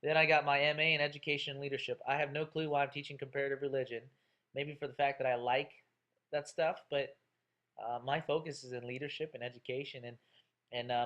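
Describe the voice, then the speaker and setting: A man's voice: medium pitch, a bit bright. A man in his thirties, a college instructor introducing himself to his class in a chatty, joking way with lots of fillers. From Texas, USA.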